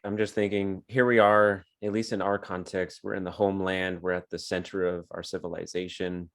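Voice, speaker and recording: A man hosting a podcast, asking guests thoughtful, probing questions in a casual way. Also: sits low. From California. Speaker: podcast host.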